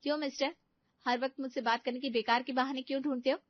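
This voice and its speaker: A woman's voice: high voice, steady tone, fast talker, dry recording, muffled recording, voice pushed up, natural voice. A woman voicing a playful, blunt young college girlfriend in a story, teasing and outspoken.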